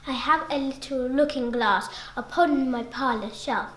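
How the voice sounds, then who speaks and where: Young girl playing cunning spider, whispery, coaxing, flattering, storybook poem, echoing room. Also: sits high, unhurried, a little hiss or hum. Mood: sly coaxing. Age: child. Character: sly, cunning, flattering male spider luring prey.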